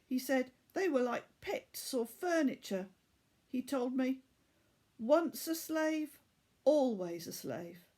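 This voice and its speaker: A woman's voice: sits high, animated, slow, dark tone, voice pushed up, full character voice. A woman voicing a kind, remorseful captive boy in a children's audiobook, earnest and pleading in a formal way. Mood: urgent.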